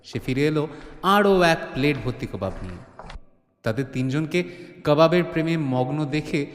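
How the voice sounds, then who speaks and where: A man's voice: a little high, animated, moderate pace. A man narrating a comic mystery audio drama as a dramatic scripted storyteller. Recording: roomy, long studio reverb.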